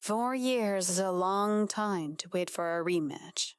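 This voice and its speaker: Woman voicing determined adult daughter, athlete, audiobook drama, wry edge, slow measured delivery, crisp tone. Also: mid-range voice, no room sound, clean studio sound, lightly acted. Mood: earnest, determined. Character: determined adult hockey player daughter, wry, edgy, devoted. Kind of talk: dramatic audiobook character acting.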